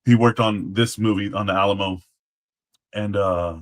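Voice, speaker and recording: Low-voiced man explaining history casually. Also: fairly even intonation, moderate pace. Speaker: guest, former Alamo guard.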